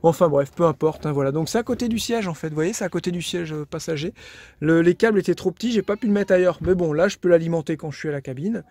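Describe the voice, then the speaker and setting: A man's voice: a little high, quick pace, darkish tone, no echo. A man in his thirties, filming himself with a handheld phone outdoors beside a bus, casually explaining his DIY work step by step with lots of fillers. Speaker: vlogger converting a bus into a camper. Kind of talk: casual DIY vlog talk to camera. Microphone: phone held at arm's length.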